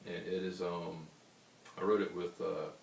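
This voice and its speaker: A man with a baritone voice, a singer-songwriter answering interview questions in an unhurried, rambling way. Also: flat delivery, creaky. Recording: roomy, distant mic with faint hiss.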